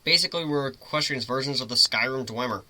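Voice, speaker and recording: A male amateur reader narrates a first-person story in a dramatic reading. His voice sits at a middling pitch with a very sharp, forceful brightness. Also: fast, dry recording.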